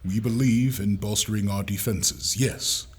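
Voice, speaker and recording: An actor playing a businesslike military leader giving a formal, authoritative briefing in a husky voice, in an audio drama. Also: male voice, lowish voice, steady tone, measured pace.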